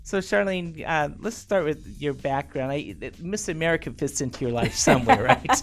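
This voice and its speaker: A man hosting an interview show, asking halting questions with frequent fillers and restarts. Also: fairly high pitch, animated, vocal fry, soft background music. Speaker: talk show host.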